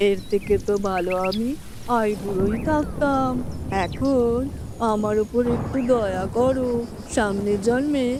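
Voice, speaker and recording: A woman's voice: a little high, a little slow, noisy background, close to an everyday voice. A woman voicing a hungry, henpecked husband crow in a cartoon, complaining in a plaintive, exclaiming way. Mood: despairing. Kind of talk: children's cartoon fairy-tale acting.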